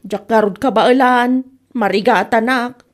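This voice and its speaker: A woman's voice: fairly high pitch, unhurried, close to an everyday voice. A woman with an Ilocano accent playing a sulky, greedy, dramatic younger sister in a comedy drama.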